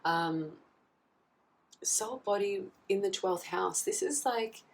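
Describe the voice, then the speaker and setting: A woman with a husky voice from a recent flu, giving a casual tarot reading and often checking in with "okay?". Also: mid-range voice, slow. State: husky, getting over a flu. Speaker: tarot reader. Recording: slightly distant mic, faint background noise.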